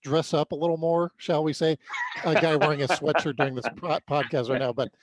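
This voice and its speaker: A man's voice: a little high, steady tone, a little fast, a little hiss or hum. A man hosting a sports podcast, casually setting up and asking interview questions.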